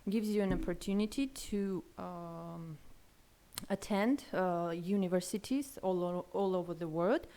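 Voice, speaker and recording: A woman, a guest in a casual interview, speaking hesitantly with many fillers and pauses. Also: lowish voice, dark tone. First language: Armenian.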